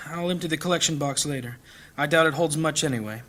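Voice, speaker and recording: A man's voice: on the high side, fairly quick, a bit bright, natural voice. An actor playing a weary, anxious old priest in a fantasy audio drama, humble and hesitant, with sighs in his voice. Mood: weary, bitter. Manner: hesitant, stammering when flustered. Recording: clear background ambience noise.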